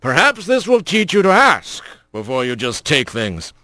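A man voicing a stern, gruff father mouse who leads his clan, giving clipped orders. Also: on the high side, wildly swooping pitch, moderate pace, pushed and bright, no echo, studio quality, full character voice.

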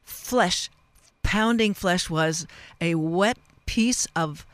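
A woman hosting a live radio interview in a studio, chatty and halting, often drifting off on asides. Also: fairly low pitch, no room sound. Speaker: radio show host. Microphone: studio microphone.

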